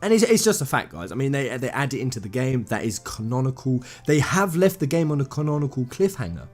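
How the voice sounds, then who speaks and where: A man's voice: medium pitch, very animated, fast, a little mellow, faint music behind. A man hosting a video game channel, giving informal opinion commentary in an emphatic, slangy way with effusive fan enthusiasm, speaking straight to viewers.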